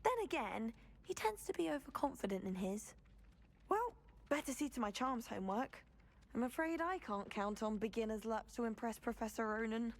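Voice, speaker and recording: A woman's voice: a little high, big pitch swings, moderate pace. An actress playing a friendly, self-doubting teenage student witch in a video game, chatty and polite. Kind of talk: scripted video game acting.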